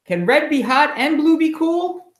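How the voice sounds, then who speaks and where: A man's voice: extremely high pitch, big pitch swings, unhurried, voice pushed up, exaggerated voice. A man voicing a cool, plucky color from a children's picture book who stands up boldly and emphatically to a bully. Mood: bold, friendly. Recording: roomy, echoey home recording.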